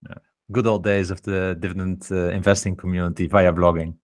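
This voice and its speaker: A man co-hosts a podcast with a very low, flat, even voice, chatting casually. The sound is dry and close. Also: a little fast, no echo. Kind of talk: casual chat.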